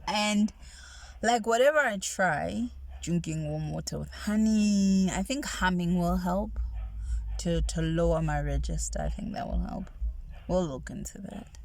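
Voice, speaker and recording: A woman with a nasal voice chatting in a soft, rambling ASMR style, restarting her sentences and often slipping into whispers. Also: lowish voice, a little slow, dry recording. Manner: soft-spoken, drifting into whispers. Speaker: ASMR creator.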